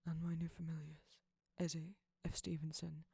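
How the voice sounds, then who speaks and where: A man with a husky, breathy voice, giving a scripted sign-off to listeners as an audio creator. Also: a little high, little pitch movement, normal speed, warm tone, dry recording, studio quality.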